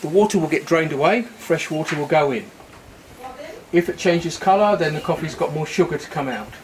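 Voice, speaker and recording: A man's voice: fairly high pitch, a little fast, recorded from a distance, slight background noise, rough recording. A man guiding a tour of his coffee farm, patiently explaining step by step with the odd filler word, recorded outdoors. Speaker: coffee farm owner and guide.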